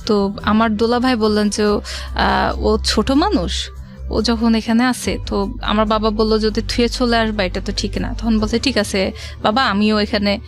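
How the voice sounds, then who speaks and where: A woman's voice: medium pitch, fairly even intonation, unhurried. A middle-aged woman telling her life story as a studio guest, conversationally, repeating and rephrasing as she goes. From Rajshahi, Bangladesh. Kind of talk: personal life-story narration. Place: radio studio. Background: music.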